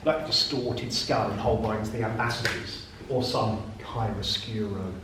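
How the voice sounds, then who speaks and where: A man giving a dry, deadpan introduction as part of a live poetry performance. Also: lowish voice, a little slow, background noise. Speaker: performance poet. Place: live arts venue, indoors. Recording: echoey room from far off.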